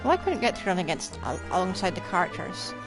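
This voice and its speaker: Lively woman streamer making wry asides. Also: on the low side, fast talker. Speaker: game streamer. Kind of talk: casual reaction commentary on a game. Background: game music.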